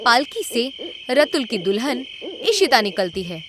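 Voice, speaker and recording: A woman narrating a scripted animated horror story. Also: fairly high pitch, a little slow, a crowd in the background.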